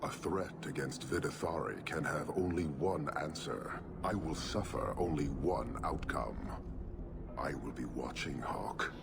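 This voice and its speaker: An actor playing an imposing, stern warlord, speaking slowly and with menace in a deep, gravelly voice. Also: male voice, heavy background noise. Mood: menacing. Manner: slow, measured, menacing. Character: imposing male warlord leader, stern and cold.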